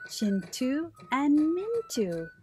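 A woman's voice: sits high, wildly swooping pitch, drawn-out words, a little mellow, faint music behind. A middle-aged woman hosting a children's story time, reading aloud expressively and putting simple questions to her young listeners.

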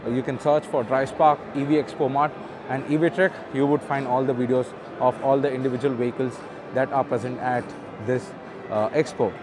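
A man's voice: medium pitch, level tone, a little fast, background noise. A man with an Indian English accent, an auto show presenter walking through a large indoor expo hall, filming on a handheld phone from behind the camera and casually reading out spec sheets with plenty of fillers. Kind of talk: off-camera walkaround narration. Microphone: handheld phone camera, speaker off-screen.

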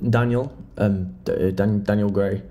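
A man's voice: on the low side, fairly even intonation, measured pace, dark tone, lightly acted. A young British man in his late teens acting a nervous, eager job applicant in a horror drama, stammering and hesitant. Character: nervous, eager nineteen-year-old male job applicant. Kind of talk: naturalistic audio drama acting in a horror film.

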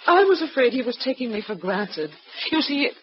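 An actress playing a scheming widow who feigns devotion, earnest and theatrical in a 1940s radio drama. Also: female voice, high-pitched, sing-song, normal speed. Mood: confessional. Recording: muffled, noisy vintage radio recording.

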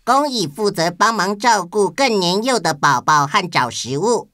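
A woman's voice: mid-range voice, lively intonation, moderate pace, fairly bright tone, no echo, studio quality. A woman explaining facts to children on a podcast. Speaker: podcast co-host.

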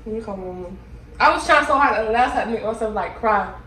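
A woman's voice: a little high, quick pace, pushed and bright. A young American woman talking casually while making prank phone calls. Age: young adult. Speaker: prank-call video host. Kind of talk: casual prank-call chat. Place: indoor room with cloth backdrop. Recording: echoey, distant mic, faint noise.

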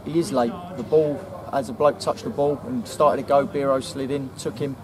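A man in his forties with an English accent, a football manager giving a measured post-match interview outdoors at night. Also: mid-range voice, steady tone, background noise. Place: outdoors in front of a sponsor board at night.

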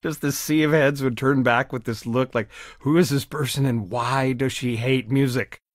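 A man playing a gentle, regretful middle-aged father in a video game, speaking in a reflective, conversational way. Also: medium pitch, steady tone, moderate pace, no room sound, studio quality, close to an everyday voice. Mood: tender, wry.

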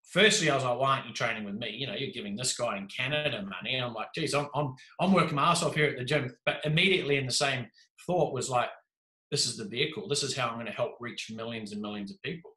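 Bright-voiced man with a New Zealand accent. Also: mid-range voice, fast. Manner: animated, gestures a lot, jokey. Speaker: podcast guest. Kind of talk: casual storytelling. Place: small room indoors. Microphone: video call with desk mic. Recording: echoey room.

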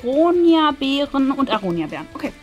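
High-voiced woman, casual unboxing chat. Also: sing-song, brisk, muffled recording. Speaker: host of an unboxing video. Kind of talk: casual chatty product review. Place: small decorated indoor room. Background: faint music.

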